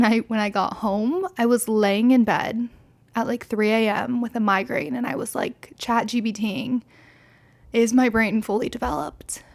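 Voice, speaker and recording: A woman in her twenties, slightly tipsy, rambling candidly through a casual solo podcast with lots of fillers and swearing. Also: a little high. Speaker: podcast host.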